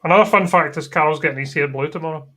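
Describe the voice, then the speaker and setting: A man's voice: fairly high pitch, quick pace, pushed and bright. A man co-hosting a casual video call chat with dry, teasing humor. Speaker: co-host.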